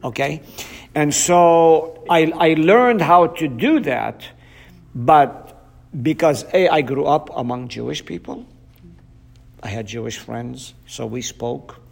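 A man's voice: medium pitch, vocal fry, breathy edge. A middle-aged man from Palestine, a pastor whose first language is Arabic, talking earnestly and casually with a group. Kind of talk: casual talk with an audience.

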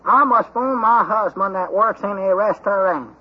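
An actor playing a folksy, muddle-headed and excitable country man with a Southern US accent in an old-time radio comedy. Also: high-pitched, normal speed, slightly rough. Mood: halting, eager. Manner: folksy, easily distracted, rambling. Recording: muffled, worn old radio recording.

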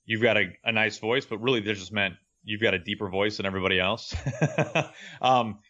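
Deep-voiced young guy, radio broadcaster, earnest storyteller, kinda dull lo-fi audio. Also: normal speed, pushed and bright. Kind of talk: interview guest.

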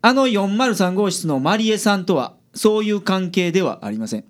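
Man acting gruff Kansai detective, swooping delivery. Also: high voice, animated. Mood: composed, polite. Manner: blunt with colleagues, measured and polite with clients. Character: gruff, sardonic male private detective, shrewd and secretly kind. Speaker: detective in a radio drama. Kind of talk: radio drama acting.

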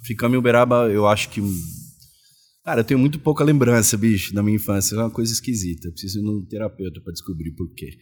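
A man's voice: on the low side, fast talker, a little mellow, husky, soft background music. A Brazilian man in his forties chatting casually as an interview guest, telling his stories in informal, slangy speech. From Salvador, Bahia, Brazil; moved to São Paulo state as a child. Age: 40s. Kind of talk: casual chat.

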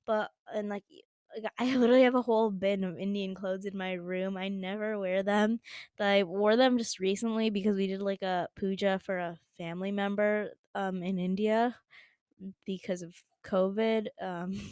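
A young woman from the United States, a bit hoarse from allergies, chatting casually on her own podcast with lots of "like" and little laughs. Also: mid-range voice, no room sound. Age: young adult. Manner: chatty, heavy use of "like", frequent little laughs. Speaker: podcast host. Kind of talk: casual solo chat.

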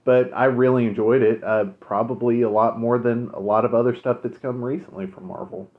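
A man co-hosting a podcast, chatting casually and recommending shows, relaxed with many fillers. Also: medium pitch, brisk. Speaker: podcast co-host. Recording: phone-like narrow sound.

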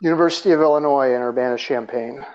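A man's voice: mid-range voice, quick pace, darkish tone. A man from Russia, a guest author talking about his background in a loose, rambling way with fillers and small laughs. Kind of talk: conversational interview. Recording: slightly dull lo-fi audio.

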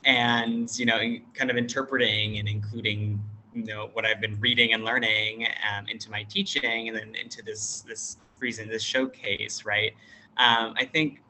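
A non-binary American teacher with a bright, forward voice speaks over a video call. They think aloud in a relaxed way, pausing and restarting often. Faint noise sits under the voice. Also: mid-range voice, rough recording. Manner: thoughtful, hesitant, with many fillers and restarts. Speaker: teacher at an international school; workshop facilitator. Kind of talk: casual interview talk.

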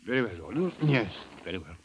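Low-voiced, courteous foreign police chief. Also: male voice, very animated, highs cut off. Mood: conceding. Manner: formal, hesitant, deliberate phrasing. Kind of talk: 1950s radio detective drama acting. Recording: old radio, faint noise.

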